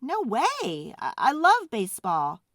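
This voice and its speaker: A woman voicing an earnest, excitable young schoolboy with a hesitant, emphatic way of talking in a storybook. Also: high voice, slow, dry recording, studio quality, voice pushed up, heavily acted. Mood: protesting.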